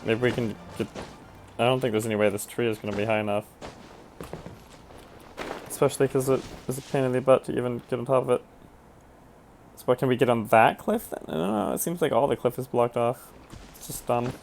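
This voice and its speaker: A man's voice: mid-range voice, fairly quick, creaky, pauses often, a little mellow, a little hiss or hum. A man streaming a video game with casual, wry, grumbling commentary.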